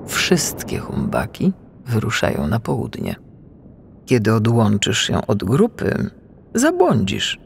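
A man voicing a gentle, protective mother humpback whale in a storybook, patient and cautioning. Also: lowish voice, huge pitch swings, slow, vocal fry, a little hiss or hum, exaggerated voice. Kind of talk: storybook reading.

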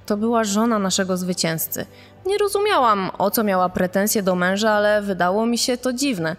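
An actress playing a puzzled television crew member giving conversational testimony in a true-crime dramatization. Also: female voice, mid-range voice, animated, moderate pace, big-room sound, faint music behind, lightly acted.

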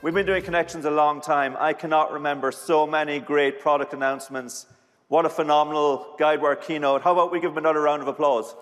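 A man's voice: a little high, steady tone, fast, fairly bright tone, soft background music. Effusive man addressing a conference hall. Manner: effusive, exclamatory. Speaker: marketing executive closing a keynote. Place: large conference stage with audience. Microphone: lapel mic. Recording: reverberant hall with faint crowd.

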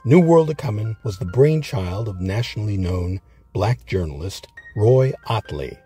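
A man with a husky, gravelly voice reading scripted documentary narration. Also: fairly low pitch, expressive, moderate pace, warm tone. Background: faint music.

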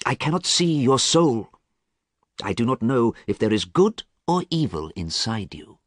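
A man reading as an earnest artist who talks quietly and sadly to a friend he fears for. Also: mid-range voice, very animated, a little slow, no room sound, studio quality, voice pushed up. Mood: pleading. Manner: quiet, pleading, sad. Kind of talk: audiobook character reading.

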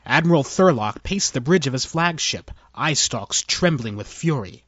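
A man's voice: mid-range voice, animated, normal speed, a bit bright, husky, no room sound, dull audio. A man reading scripted narration as a storyteller.

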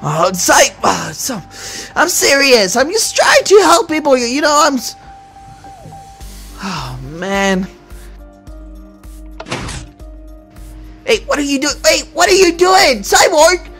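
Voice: sits high, big pitch swings, measured pace, a bit bright, soft background music, exaggerated voice. A performer voicing an anxious, paranoid young male superhero leader in a Minecraft roleplay, worried and hesitant. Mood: flustered.

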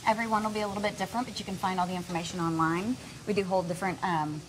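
A woman's voice: medium pitch, steady tone, very fast, a little hiss or hum, rough recording. A young woman guest on a live TV cooking segment, explaining a recipe in an upbeat, chatty way. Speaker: cooking guest from a grocery store. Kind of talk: live TV cooking demo chat. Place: TV studio kitchen set.

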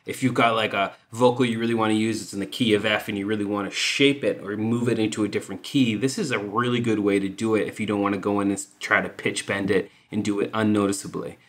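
A man hosting a music software tutorial, explaining informally and thinking aloud as he goes. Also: lowish voice, steady tone, fast talker, few pauses. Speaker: music production tutorial host.

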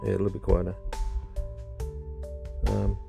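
Low, gravelly man rambling casually. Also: completely flat delivery, measured pace, music in the background. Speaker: car audio hobbyist vlogger. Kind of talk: casual unscripted vlog commentary. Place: in and around a parked car. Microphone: handheld phone.

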